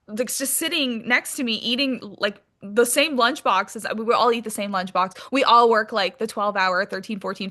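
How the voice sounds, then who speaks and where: A woman's voice: a little high, a bit bright. A woman, an actress from Hong Kong, chatting casually and animatedly as an interview guest, with informal, sweary phrasing full of "like". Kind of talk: casual interview chat, telling career anecdotes.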